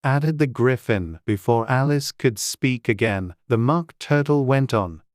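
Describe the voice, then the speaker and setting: A woman's voice: rumbling low, lively intonation, a little slow, darkish tone, no echo, clean studio sound. A woman reading scripted storybook narration as an audiobook narrator.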